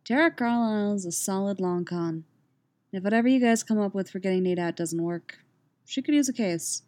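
A woman voicing a sly, amused woman con artist in a story, soft and wry. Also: medium pitch, moderate pace, close to an everyday voice. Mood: soft, serious. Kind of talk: story character voice.

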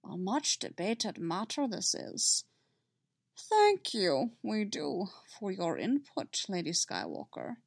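A woman voicing an ancient, wise alien sage who speaks slowly with inverted word order. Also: mid-range voice, huge pitch swings, vocal fry, breathy edge, no room sound, studio quality, exaggerated voice. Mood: wise, patient. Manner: inverted word order, slow measured speech.

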